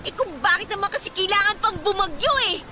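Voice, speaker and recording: A woman's voice: extremely high pitch, quick pace, over music. A Filipino woman playing an anxious, whiny teenage daughter in a radio drama, pleading and complaining dramatically. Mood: whiny, upset. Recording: loud storm ambience behind.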